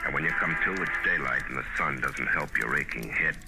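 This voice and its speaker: A hard-boiled detective speaks in a deep voice over prominent music. He is world-weary, terse and wry, in the manner of 1950s radio noir. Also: fairly quick, gravelly, low-quality recording. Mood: grim. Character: hard-boiled, cynical, tough male private detective. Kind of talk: 1950s radio noir acting and second-person narration. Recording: old radio recording with music.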